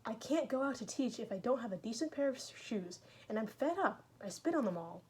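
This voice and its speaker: A woman's voice: a little high, lively intonation, brisk, breathy edge. A woman voicing a troubled, brooding young man in a read-aloud story, close to her own voice.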